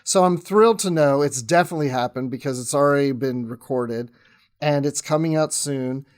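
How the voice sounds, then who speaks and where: A man's voice: mid-range voice, normal speed. A man chatting casually as a podcast guest, with a jokey, easygoing way of talking. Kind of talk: casual chat.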